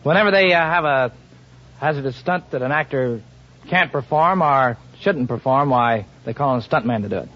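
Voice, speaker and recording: A man's voice: mid-range voice, normal speed. A man from Illinois with an American accent answering interview questions plainly on an old radio show. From Mount Carmel, Illinois. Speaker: contestant, stuntman. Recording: muffled 1950 radio broadcast.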